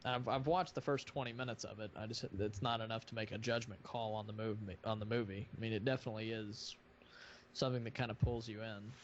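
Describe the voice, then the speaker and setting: A man hosting a casual live stream chat, talking in a rambling, halting way. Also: lowish voice, fairly even intonation. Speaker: live stream host of a fan dubbing project. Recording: muffled audio with light background noise.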